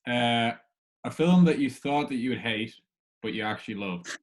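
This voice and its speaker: A man's voice: mid-range voice, moderate pace. A man hosting a casual interview chat, easygoing and a little tentative. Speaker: podcast host.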